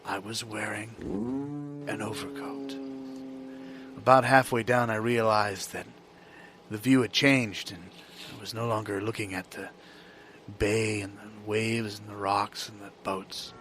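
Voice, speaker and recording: An actor playing an anxious man recounting a surreal dream in a dramatic, halting, repetitive way, in improvised audio theatre. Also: on the low side, fairly even intonation, fairly bright tone, slight background noise. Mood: dreamy, wondering. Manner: dramatic, halting, repeats himself.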